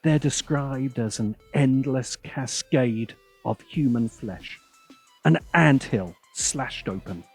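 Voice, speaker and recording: A man narrating a scripted video essay in a sardonic manner, with dramatic pauses. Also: medium pitch, husky, faint music behind.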